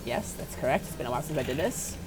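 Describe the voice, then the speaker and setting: A woman giving a casual, unedited software tutorial in a rambling, self-deprecating, apologetic way. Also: very deep voice, animated, fast, noisy background. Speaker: tutorial maker.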